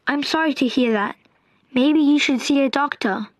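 A woman voicing a friendly schoolboy calling his sick friend, with clear, careful delivery in a textbook dialogue. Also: sits high, normal speed, no room sound, natural voice. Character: friendly young schoolboy Binbin.

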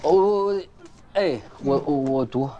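An actor playing a dutiful, nervous young servant who stammers and reads aloud slowly and haltingly, with music playing behind. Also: male voice, a little high, mellow, muffled recording, a little hiss or hum. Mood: flustered.

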